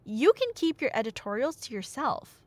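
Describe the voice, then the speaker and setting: A woman plays a chatty, nerdy girlfriend in an ASMR roleplay, telling stories with rambling enthusiasm. Her voice is fairly high and swings widely in pitch, with a playful bossy streak. The sound is very dry, with no room echo. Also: normal speed. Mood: playfully indignant. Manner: rambling, animated, tangent-prone, mock-indignant.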